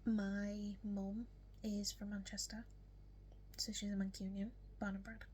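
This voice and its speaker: A woman's voice: medium pitch, little pitch movement, a little slow, slight background noise. Young woman with a strong Yorkshire accent. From West Yorkshire, England. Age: young adult. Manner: soft, frequently whispery delivery with many ums. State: hiccups. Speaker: vlogger. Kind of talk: casual get-to-know-me chat.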